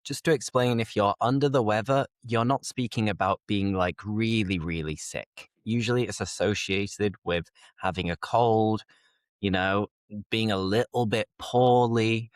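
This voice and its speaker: A man's voice: fairly low pitch, a little slow, no echo. A British man hosting an English-learning podcast, explaining idioms in an easygoing way while a little congested from a cold. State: slightly congested with a mild cold. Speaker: podcast host, English teacher. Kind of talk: casual conversational podcast.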